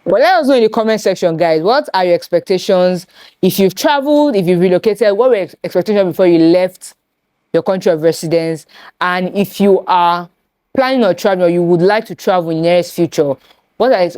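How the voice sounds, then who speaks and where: A Nigerian woman hosting a casual chat with friends, animated and slipping into Yoruba phrases. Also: lowish voice, a little fast, no echo, clean studio sound. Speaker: host.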